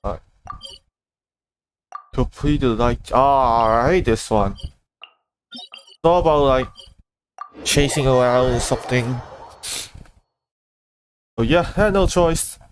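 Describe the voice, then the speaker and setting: A man livestreams a video game with casual, think-aloud commentary. His voice sits at an ordinary pitch, with game music playing clearly behind him. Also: takes it slowly, long pauses, slight background noise. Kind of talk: casual live gameplay commentary.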